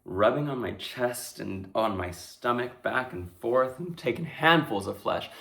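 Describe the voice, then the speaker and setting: A man playing a dazed, terrified young street hustler in a breathless, halting dramatic stage monologue. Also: mid-range voice, sing-song, fairly bright tone. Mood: shaken, hushed. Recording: echoey room.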